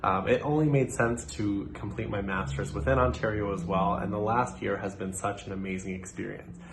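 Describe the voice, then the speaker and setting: A young man from Nova Scotia, a social work student giving a prepared presentation in an earnest, measured way with occasional fillers. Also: on the low side, fairly even intonation, noisy background. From Nova Scotia, Canada.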